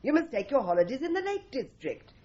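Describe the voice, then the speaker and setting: Tart secretary, lively pitch. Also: female voice, fairly quick, muffled recording. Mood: mock-authoritative. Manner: tart, emphatic, dry. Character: sardonic, quick-witted office secretary, drily bossy. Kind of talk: 1980s radio drama acting.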